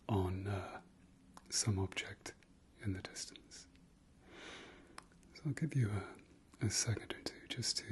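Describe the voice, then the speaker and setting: Male meditation guide: lowish, very slow, whispered ASMR delivery, soft and hesitant. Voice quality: breathy, whispery. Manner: soft, gentle, hesitant pauses with trailing repeats.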